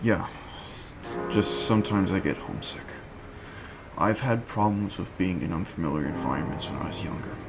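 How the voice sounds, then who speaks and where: A man acting an earnest, reserved, homesick young operative in a dramatic reading, with measured, formal phrasing. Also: deep voice, animated, vocal fry. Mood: homesick, subdued. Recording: loud background music. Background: loud music.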